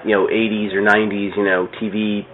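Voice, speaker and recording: A man's voice: lowish voice, flat delivery, fast, slight background noise. A middle-aged man chatting casually about comics as a podcast guest, rambling with plenty of "you know". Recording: thin phone-like radio sound.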